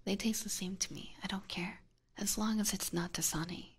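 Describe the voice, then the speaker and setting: A woman doing casual ASMR chat in a soft, breathy whisper close to a binaural mic, informal and hesitant, chatting to viewers. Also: mid-range voice, normal speed. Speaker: ASMR streamer and host. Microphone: close binaural ear microphone.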